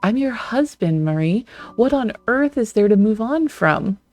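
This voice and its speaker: A woman's voice: lively intonation, measured pace, a little mellow, faint music behind. A woman voicing a confused, pleading husband who does not know he has died. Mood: bewildered pleading. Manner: bewildered, pleading, voice raised higher. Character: confused ghostly husband, middle-aged man, pleading.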